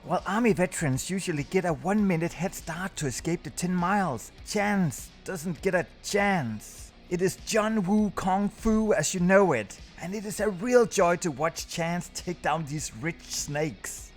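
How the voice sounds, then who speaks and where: A man with a fairly high voice hosts a scripted countdown review. He narrates as a video presenter, with music playing underneath. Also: lively intonation, unhurried, hardly any pauses.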